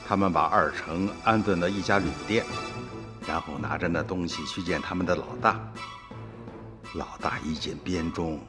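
A man's voice: sits low, normal speed. An older man with a Shaanxi accent, narrating a radio drama in a folksy way as a grandfather. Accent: Shaanxi dialect. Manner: storytelling, folksy. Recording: reverberant radio drama with music.

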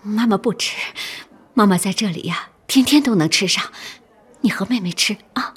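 An actress playing a poor, self-denying mother close to tears in a radio drama, speaking gently and coaxingly with breathy sighs. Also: medium pitch, lively intonation, normal speed. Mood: loving, coaxing. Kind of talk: Chinese radio drama acting.

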